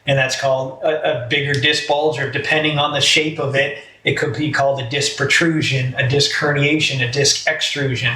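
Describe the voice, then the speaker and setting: Bright-voiced man explaining in a roomy space. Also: medium pitch, little pitch movement, normal speed, hardly any pauses. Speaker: medical expert guest on a health podcast. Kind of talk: conversational medical explanation. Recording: roomy echo, faint background noise.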